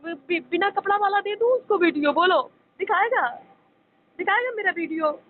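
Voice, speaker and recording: High-pitched pleading woman on tinny phone. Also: moderate pace. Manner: insistent, pleading, repetitive. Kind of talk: emotional private phone argument. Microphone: phone call. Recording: narrow tinny phone line, faint noise.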